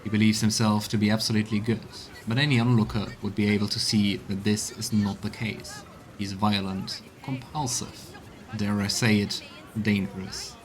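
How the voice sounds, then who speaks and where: A man streaming a video game, giving commentary and reading in-game texts aloud in a measured, storytelling way. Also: lowish voice, a little hiss or hum. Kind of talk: let's play commentary and voiced reading. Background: video game music.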